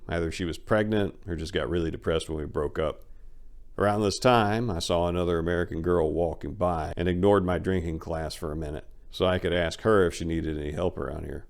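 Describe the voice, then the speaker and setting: A man with a fairly low voice performs a first-person memoir as a dramatic reading. He tells it expressively, like a storyteller, leaning into certain phrases with emphasis. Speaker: audiobook narrator.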